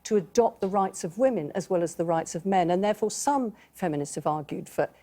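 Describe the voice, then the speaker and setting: Mellow-voiced woman on a debate panel. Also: on the low side, lively intonation, a little fast. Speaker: panel guest.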